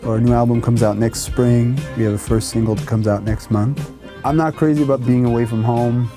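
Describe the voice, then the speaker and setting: A man in his thirties talks casually and eagerly about building his synth collection. His voice sits mid-range, with instruments clearly playing behind him in the studio. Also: medium pitch, steady tone, fairly quick. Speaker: musician and studio owner. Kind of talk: casual chat and to-camera talk. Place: recording studio, indoors. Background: music playing.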